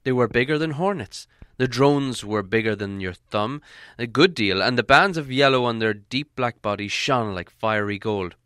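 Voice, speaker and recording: Crisp, bright male storybook narrator. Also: mid-range voice, moderate pace, no echo, clean studio sound. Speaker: audiobook reader.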